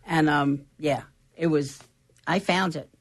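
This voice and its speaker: A woman chatting as a guest, telling personal stories in a lively, animated way. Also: deep voice, slow pace. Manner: animated storyteller, shares personal anecdotes. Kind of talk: casual chat.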